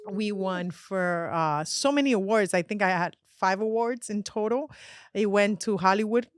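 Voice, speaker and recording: Casting note: woman guest with a Spanish accent, lively and emphatic, mid-pitched, dry close sound. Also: moderate pace, clean tone, no room sound. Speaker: guest, actress and director. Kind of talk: casual interview chat.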